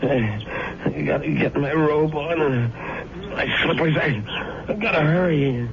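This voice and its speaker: An actor playing a sick, winded boarder in a radio drama, a reluctant hired menace who talks haltingly and with strain. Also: male voice, on the high side, gravelly, over loud music. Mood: resigned, panicky. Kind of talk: 1970s radio drama acting. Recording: very noisy, muffled old radio broadcast.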